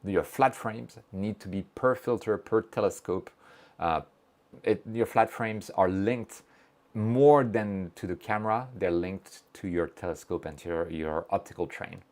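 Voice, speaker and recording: A man's voice: deep voice, unhurried, a little mellow. A man hosting a casual livestream, reading viewer messages aloud, joking about himself and explaining technical steps plainly. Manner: chatty, reads chat messages aloud, self-joking. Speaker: livestream host.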